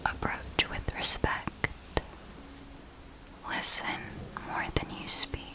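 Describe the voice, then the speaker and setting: A woman playing an ancient, seductive vampire mentor in a whispered ASMR roleplay, speaking with formal, lingering, condescending affection. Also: lightly acted. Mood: silky, instructive. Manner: slow, deliberate, lingering, formal and intimate.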